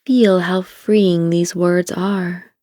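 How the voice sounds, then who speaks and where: A woman narrating a scripted guided meditation in a calm, soothing, deliberate way, giving gentle instructions. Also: fairly low pitch, no echo, studio quality.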